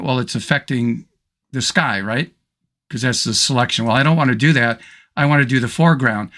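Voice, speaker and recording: A middle-aged man, slightly hoarse, talks through a photo edit in a relaxed way, full of little ums and restarts. His voice sounds bright and forward. He records at a desk mic in a home studio. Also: mid-range voice. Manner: relaxed, thinking aloud, frequent ums and restarts. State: slightly hoarse, clearing throat. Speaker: photo editing tutor. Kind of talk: screen-recorded software tutorial.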